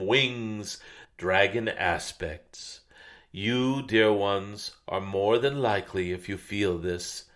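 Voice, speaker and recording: Man channeling a slow, low, wise dragon spirit. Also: a bit bright. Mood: gentle, wondering. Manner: measured, deliberate, frequent pauses and thoughtful hums. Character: wise benevolent ancient dragon spirit addressing humanity. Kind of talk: channeled spiritual message, solemn monologue.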